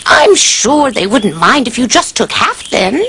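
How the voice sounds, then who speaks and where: Old peddler villainess, cartoon, creaky, wheedling, lively swoops, noisy soundtrack. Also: female voice, fairly high pitch. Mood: sly coaxing. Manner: coaxing, drawn-out sales patter. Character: sly disguised old woman apple peddler, wheedling villain.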